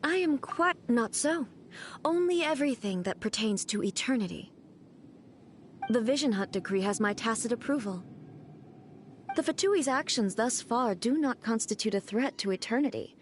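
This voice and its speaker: A woman plays a cold, regal goddess from a video game. Her voice sits slightly high, formal and measured, looking down on whoever she addresses. There is some faint noise on the game capture. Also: animated, natural voice. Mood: cold, aloof. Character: regal, cold, imperious goddess obsessed with eternity.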